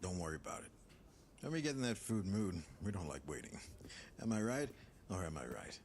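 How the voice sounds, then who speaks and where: A man's voice: deep voice, sing-song, a little fast, darkish tone, slight background noise. A man acting a brash, joking, big-hearted warrior in a fan audio drama. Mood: joking, rowdy.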